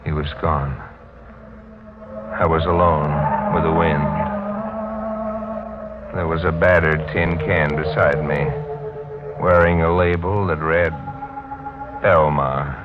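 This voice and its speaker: An actor playing a haunted, tough sailor in a 1940s radio mystery, hard-boiled and insistent. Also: male voice, very deep voice, level tone, slow, long pauses, loud music behind the voice. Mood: grim narration. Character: haunted tough sailor back from apparent death. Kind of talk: 1940s radio mystery acting, first-person noir. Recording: dull old radio recording, noisy.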